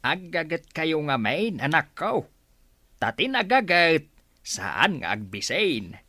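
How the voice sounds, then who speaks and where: A man's voice: on the high side, big pitch swings, slow pace, pushed and bright, no room sound, studio quality, full character voice. A man with an Ilocano accent playing an exasperated, scolding older village father in a radio comedy drama. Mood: exhorting. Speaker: voice actor.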